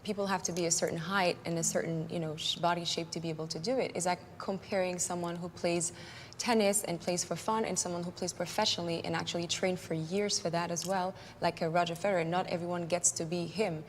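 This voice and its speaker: A low-voiced woman in her twenties explains her view earnestly on a panel. Her words ring out in a reverberant room, with faint noise underneath. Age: 20s. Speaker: international model. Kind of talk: interview panel talk.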